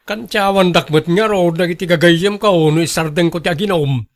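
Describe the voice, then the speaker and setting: An actor with an Ilocano accent playing a volatile, hard-drinking young husband in a radio drama. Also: male voice, high-pitched, fairly quick. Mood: defensive.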